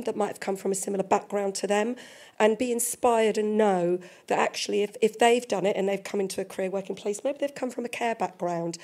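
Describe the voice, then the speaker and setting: Croaky woman with a mellow voice. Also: medium pitch. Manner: measured, speaks through a handheld mic. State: croaky, losing her voice. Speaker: panelist, head of talent. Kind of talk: panel discussion remarks. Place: museum event space with a live audience. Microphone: handheld microphone.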